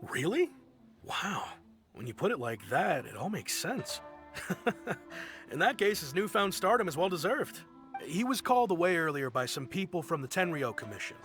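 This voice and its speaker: A man's voice: on the high side, normal speed. An actor voicing an easygoing, friendly ship crewman in a video game who laughs often. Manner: relaxed, amused, laughs often. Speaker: sailor in a pirate fleet. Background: game music.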